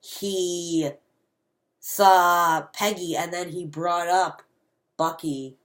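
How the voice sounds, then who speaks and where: A man's voice: sits high, steady tone, drawn-out words, a bit bright. A young male co-host chatting casually about films, picking at details. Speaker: co-host of a father and son movie review show. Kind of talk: casual film chat.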